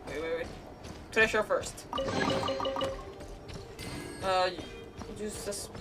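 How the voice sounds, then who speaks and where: A woman's voice: medium pitch, fairly even intonation, drawn-out words. A woman doing casual live gameplay commentary as a streamer, thinking aloud with mild swearing. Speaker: game streamer. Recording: distant mic, loud game music. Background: loud game music.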